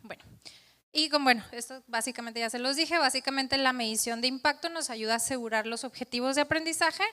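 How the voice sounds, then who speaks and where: A woman's voice: sits high, brisk, sharp tone. A woman presenting a training workshop who checks in with her audience as she explains.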